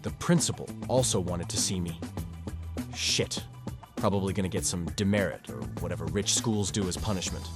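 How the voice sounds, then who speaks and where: A man acts as a tired, socially awkward high-schooler narrating a visual novel in first person. His voice is on the low side, sardonic and self-mocking. Music is clearly audible behind him. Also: moderate pace. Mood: grumbling. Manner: glum, sardonic, self-deprecating. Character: weary, cynical, awkward male high-school student narrator. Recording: music under the voice.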